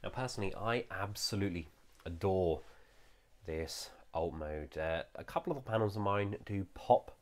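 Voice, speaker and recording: A man's voice: low voice, expressive, normal speed. A man with a nasal voice, recovering from a cold, giving an enthusiastic, chatty toy review with frequent "uh" fillers. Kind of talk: casual product review.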